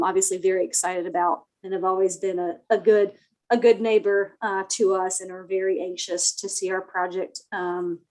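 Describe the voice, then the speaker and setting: Soft-spoken woman with a flat delivery. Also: mid-range voice, poor audio. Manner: soft-spoken, many fillers. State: slightly sick. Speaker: project planner presenting to a town board. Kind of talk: formal presentation at a remote meeting. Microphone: computer mic on a video call.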